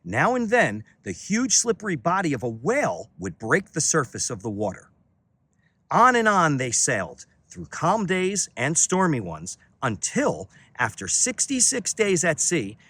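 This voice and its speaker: A man reading a children's story aloud outdoors in an expressive, dramatic way, with deliberate phrasing and emphatic pauses. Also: fairly high pitch, husky, no room sound. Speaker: children's read-aloud reader, teacher and entertainer. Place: outdoors on a beach.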